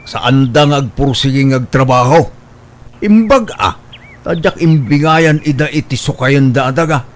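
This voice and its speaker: A man's voice: medium pitch, normal speed, close to an everyday voice. A man with an Ilocano accent playing a stubborn, grasping older father in a radio drama, haggling emphatically. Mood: self-satisfied.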